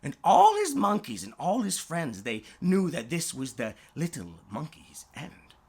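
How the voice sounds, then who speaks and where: A storyteller narrating a rhyming bedtime story in a theatrical, drawn-out way with dramatic swoops. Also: medium pitch, huge pitch swings, heavy background noise. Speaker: storyteller, author reading own story.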